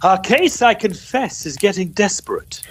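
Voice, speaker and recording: A man's voice: high-pitched, very animated, normal speed, husky, exaggerated voice. A man playing a dissolute, desperate middle-aged Victorian guardian, florid and declamatory in the manner of old-time radio melodrama. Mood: anguished, desperate.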